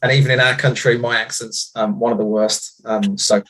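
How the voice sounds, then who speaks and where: A man's voice: medium pitch, steady tone, moderate pace, fairly bright tone, no room sound, rough recording. A man with a Birmingham accent, introducing himself hesitantly on a video call with lots of ums. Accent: Birmingham English. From England. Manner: hesitant, many ums, self-deprecating. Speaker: course participant.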